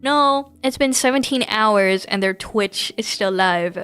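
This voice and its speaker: A woman's voice: medium pitch, takes it slowly, a bit bright. A woman chatting casually on a gaming livestream in a giggly, rambling way. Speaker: live streamer. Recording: music behind the voice. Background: background music.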